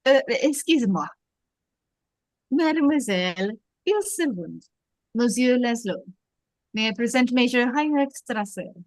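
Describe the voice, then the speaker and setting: A woman playing a suave, flattering French police captain in an amateur script read-through, with a French-accented voice and a courtly manner. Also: on the high side, moderate pace, no echo.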